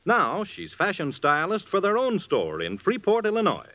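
A man's voice: sits high, huge pitch swings, normal speed, pushed and bright, dry recording. A man narrating a 1940s radio commercial as announcer, with a polished storytelling sales delivery. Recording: dull vintage radio recording.

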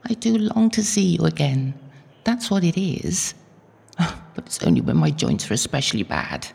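An actress playing an elderly, devout medieval holy woman in an audio drama, gentle and wistful, musing hesitantly. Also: sits low, wildly swooping pitch, moderate pace, creaky, breathy edge, heavily acted. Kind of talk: audio drama acting, intimate prayerful monologue. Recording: long hall-like reverb, faint music behind.

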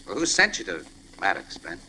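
Streetwise American newsman, 1940s radio drama, wisecracking, sharp bright tone, animated pitch. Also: a little fast, heavily acted. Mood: easygoing, knowing. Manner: wisecracking, slangy, easygoing. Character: breezy, streetwise male newspaper crime photographer. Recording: noisy old radio broadcast recording.

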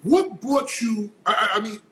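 A man hosting an interview, excitable, jumping in and repeating himself. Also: high voice, normal speed, a little hiss or hum. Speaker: podcast host. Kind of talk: casual interview conversation.

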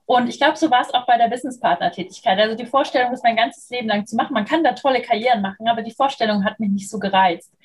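Female interview guest, thirties, higher pitch with a husky edge, conversational. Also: fast, few pauses. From Munich, southern Germany. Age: 30s. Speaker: interview guest, freelance trainer and moderator.

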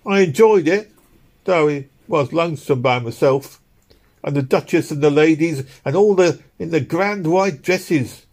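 A man's voice: on the high side, unhurried, darkish tone, pitched above the performer's own voice. A man voicing a chatty, easily delighted young working-class London woman with a Cockney accent in an audiobook. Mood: wistful, delighted. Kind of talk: audiobook character dialogue.

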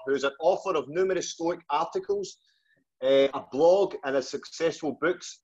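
A man's voice: fairly high pitch, darkish tone. A man with a Glaswegian Scottish accent hosting a casual interview, talking in an informal, matey, colloquial way. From Scotland, just outside Glasgow. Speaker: podcast host. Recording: dull, lo-fi call audio.

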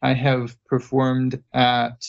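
A man hosting a casual podcast interview, talking in a friendly, unhurried way. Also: medium pitch, monotone, creaky, highs cut off. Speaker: podcast host.

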